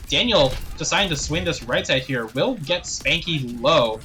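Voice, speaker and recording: A young man casting a live esports match play-by-play, slightly hoarse and thirsty, clearing his throat now and then. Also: fairly high pitch. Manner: rapid play-by-play, rising excitement on big plays, frequent throat clearing. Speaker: esports caster.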